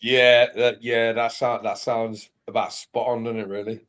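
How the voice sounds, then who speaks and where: A man's voice: mid-range voice, flat delivery, moderate pace, fairly bright tone. A man chatting casually as a livestream guest, swearing freely and often repeating himself. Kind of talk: casual chat.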